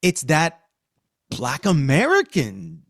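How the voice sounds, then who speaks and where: A man's voice: on the high side, wildly swooping pitch, slow, no echo, studio quality. A middle-aged man from Queens, New York, hosting a casual podcast discussion with emphatic, slangy talk. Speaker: podcast host.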